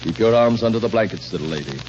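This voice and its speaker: Actor playing low-voiced, sinister courtly tyrant. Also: male voice, animated, a little mellow, close to an everyday voice. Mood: condescending, soothing. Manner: formal, measured, condescending. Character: sinister, controlling, courtly rich recluse ruling a valley. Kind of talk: 1930s radio drama acting. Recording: noisy, dull 1930s radio recording.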